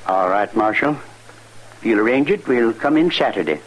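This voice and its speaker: An actor playing a plain-spoken, contented older homesteader with a folksy rural American accent in a 1950s radio western. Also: male voice, fairly low pitch, expressive, normal speed, natural voice. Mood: happy, grateful. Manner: folksy, earnest. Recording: noisy vintage 1950s radio recording.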